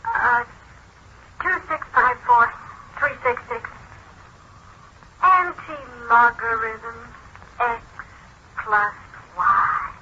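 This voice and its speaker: A woman playing a lovestruck female calculating machine in 1940s radio drama, reciting in a halting, mechanical way. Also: on the high side, bright, forceful tone, full character voice. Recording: noisy, muffled 1940s radio recording.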